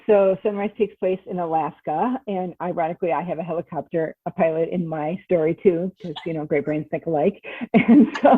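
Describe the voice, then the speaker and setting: A woman's voice: deep voice, breathy edge, dry recording. A chatty, enthusiastic woman telling stories casually as an author guest in an interview, heard over a thin, phone-like connection. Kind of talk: casual animated storytelling in an interview. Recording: thin, phone-like, band-limited call.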